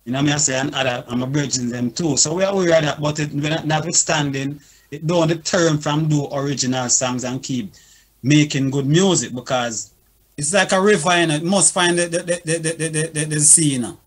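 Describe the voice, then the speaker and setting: A man with a somewhat high voice, speaking as a music producer on a talk panel. He rambles earnestly and often stumbles over repeated words. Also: brisk. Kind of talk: casual panel discussion.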